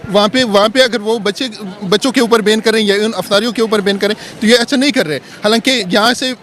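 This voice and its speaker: A man giving his opinion in a street interview, halting and repeating words as he searches for them. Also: high-pitched, fairly bright tone. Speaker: member of the public being interviewed.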